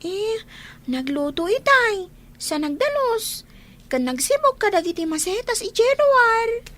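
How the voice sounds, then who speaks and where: A woman's voice: very high voice, big pitch swings, a little slow, fairly bright tone, exaggerated voice. A woman playing an innocent young daughter in a radio drama, speaking. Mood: innocent, earnest. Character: young daughter, innocent child defending her mother. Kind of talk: Ilocano radio drama acting.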